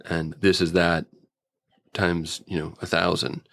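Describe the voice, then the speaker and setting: Low creaky voiced guy casually reviewing a show, informal fan talk, dry close sound. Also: flat delivery, unhurried, fairly bright tone, no room sound. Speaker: podcast guest reviewer. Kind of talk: casual episode review chat.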